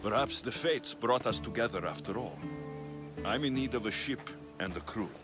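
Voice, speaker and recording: An actor playing a young, confident ancient Greek mercenary in a video game, with a wry, teasing manner. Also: male voice, medium pitch, moderate pace, music in the background, natural voice. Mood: calm, confident. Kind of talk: video game cutscene acting.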